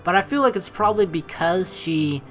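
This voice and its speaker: A woman's voice: fairly low pitch, lively intonation, normal speed, faint music behind. A young woman giving casual, slangy game commentary, reading aloud and reacting as she goes. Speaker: let's play host.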